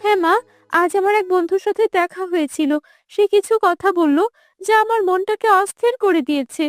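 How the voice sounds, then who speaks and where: A woman's voice: extremely high pitch, fairly quick, dry recording, studio quality. A woman playing an earnest, repentant young woman in a cartoon, anxious and pleading.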